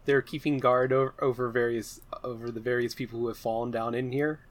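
A man's voice: mid-range voice, level tone, a little fast. Mid-voiced man chatting over a tabletop game. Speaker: player in an actual play podcast. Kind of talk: casual tabletop game chat.

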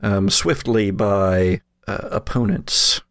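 A man running a tabletop game, improvising narration with halting, drawn-out hesitations. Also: fairly low pitch, fairly bright tone, dry recording, studio quality. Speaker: game master.

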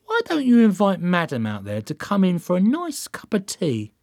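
A man's voice: high voice, huge pitch swings, normal speed, darkish tone, no room sound, voice pushed up, exaggerated voice. Man playing a dry, scheming woman cultist. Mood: sly. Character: matter-of-fact, scheming female cult leader.

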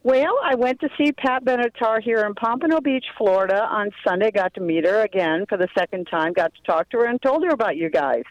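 A woman's voice: expressive, brisk, few pauses, no room sound. Upbeat female caller on dull phone line. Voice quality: deep voice for a woman. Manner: upbeat, effusive. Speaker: radio call-in listener. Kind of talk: casual chatty phone call.

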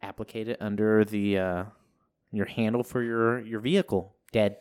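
A man's voice: on the low side, fairly even intonation, normal speed, a little mellow, smooth. A man hosting a casual podcast, rambling and crude, joking with friends and using lots of fillers. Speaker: podcast host. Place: home studio.

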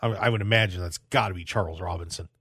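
A man's voice: low voice, fast talker, a bit bright, slightly rough, no echo, studio quality. An American man hosting a casual sports talk radio show in a jokey, rambling way. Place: dry radio studio.

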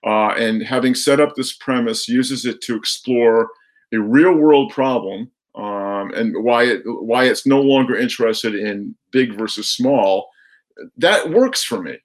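A man of average pitch talks through his views on film as a guest commentator. He speaks in a measured, hesitant way, often qualifying what he thinks. The style is conversational and thoughtful.

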